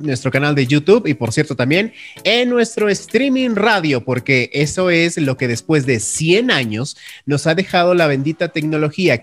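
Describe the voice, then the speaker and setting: A man with a Mexican accent and a fairly high-pitched voice hosts a live radio program. His delivery is formal and emphatic, in the manner of an announcer. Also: fairly bright tone. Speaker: radio host.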